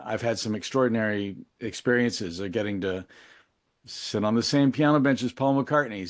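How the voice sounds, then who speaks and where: Male interview guest, lower-pitched, dry close sound, candid and reflective, conversational delivery. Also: dry recording. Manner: reflective, candid, thinking aloud. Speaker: interview guest, musician and memoir author. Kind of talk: casual, reflective interview talk.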